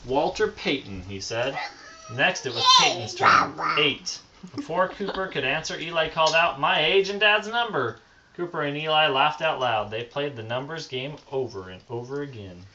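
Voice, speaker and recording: A man's voice: on the high side, sing-song, moderate pace, bright, forceful tone, muffled recording. A father reading a picture book aloud to a small child at home, stopping to answer as the child points at the pictures. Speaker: father reading a bedtime story. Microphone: phone. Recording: noisy home phone recording.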